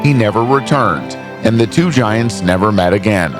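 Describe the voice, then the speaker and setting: A man's voice: fairly low pitch, animated, normal speed, over music. A man reading scripted storybook narration as a storyteller.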